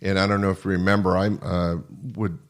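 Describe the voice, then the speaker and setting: A man's voice: low-pitched, flat delivery, a little fast. A middle-aged or older American man hosting a casual, conversational interview podcast.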